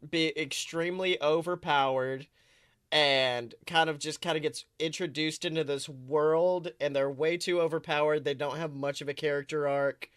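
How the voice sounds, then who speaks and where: A podcast co-host chatting casually and reading fan fiction aloud in an animated, wry way, often self-interrupting. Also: mid-range voice, no room sound, studio quality.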